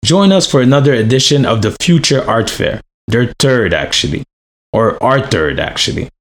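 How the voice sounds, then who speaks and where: A man's voice: mid-range voice, expressive, unhurried. A man, the host of a podcast, reading an upbeat promotional intro.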